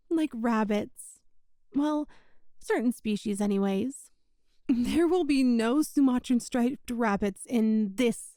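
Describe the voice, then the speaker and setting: A woman's voice: high-pitched, dark tone, dry recording, clean studio sound. A woman playing a sweetly possessive, clingy yandere witch in an ASMR roleplay, with a cutesy, coy, breezily sinister manner. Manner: cutesy, coy, breezily matter-of-fact about sinister things. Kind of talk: ASMR roleplay acting addressed to the listener.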